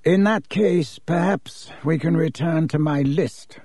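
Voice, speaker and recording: A man voicing a cold, haughty aristocratic wizard father who speaks slowly and disdainfully. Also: fairly high pitch, expressive, darkish tone, no echo, studio quality. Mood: curt. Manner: slow, clipped and disdainful.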